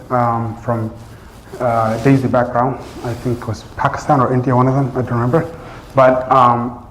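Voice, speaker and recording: A man who teaches Arabic, speaking English as his first language, gives an earnest, loose talk to his class with many fillers and restarts. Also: medium pitch. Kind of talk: informal address to a class. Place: large room in a community center. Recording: roomy echo.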